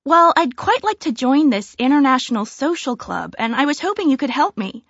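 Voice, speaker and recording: An actress playing a friendly young woman who signs up for a social club, answering questions in a relaxed, clear way. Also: high-pitched, a little fast, dry recording, full character voice. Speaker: new club member. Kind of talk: scripted listening-test dialogue. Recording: dry, slightly dull studio recording.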